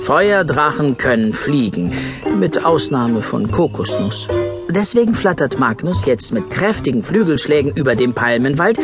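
Male narrator, children's audio play, expressive, animated pitch, music in the background. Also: normal speed. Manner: expressive storytelling. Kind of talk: scripted children's story narration.

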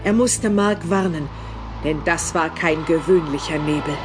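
A man's voice: fairly high pitch, a little slow. A man reading scripted, dramatic narration for a German horror audio drama. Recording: music behind the voice.

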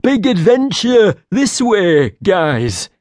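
A reader voicing a slow, simple little creature. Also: mid-range voice, sing-song, a little mellow, no room sound, pitched above the performer's own voice. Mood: eager. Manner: broken childlike speech, talks of himself by name. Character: simple-minded excitable little male creature. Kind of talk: audiobook character voice.

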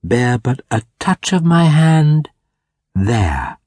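A man playing a gentle yet commanding ancient spirit in an audiobook, soft and solemn. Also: big pitch swings, no echo, clean studio sound. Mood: reassuring. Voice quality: soft, low. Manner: solemn, measured.

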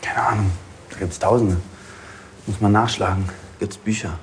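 A young actor playing an easygoing, teasing young stable hand. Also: male voice, fairly low pitch, normal speed, a little hiss or hum, lightly acted. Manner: relaxed, teasing.

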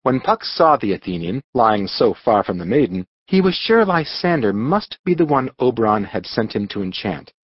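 A man narrating a scripted storybook audiobook with dramatic, expressive delivery. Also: mid-range voice, normal speed, hardly any pauses, slightly rough, dry recording, highs cut off.

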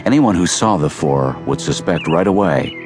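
A man's voice: low-pitched, animated, normal speed, over music. A man narrating a dramatized western audiobook as scripted storytelling.

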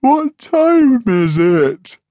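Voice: medium pitch, very animated, very slow, warm tone, dry recording, voice pushed up. A narrator voicing a sleepy, scruffy male puppy in a storybook.

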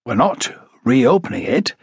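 Man playing a husky, formal male detective. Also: mid-range voice, very animated, a little slow, no room sound, clean studio sound, full character voice. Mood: firm. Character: matter-of-fact, courteous male police detective. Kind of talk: audiobook character dialogue.

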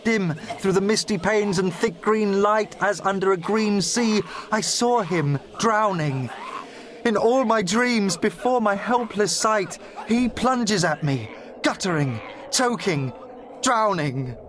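An actor playing a haunted soldier poet of the First World War, reciting dramatically with deliberate intensity. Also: male voice, high voice, fairly bright tone. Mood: urgent, horrified.

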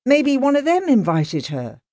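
A woman's voice: sits high, wildly swooping pitch, a little slow, dark tone, no echo, studio quality, voice pushed up, full character voice. A woman voicing a stubborn, earnest police constable who grumbles about the rich. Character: plain, dogged male police constable.

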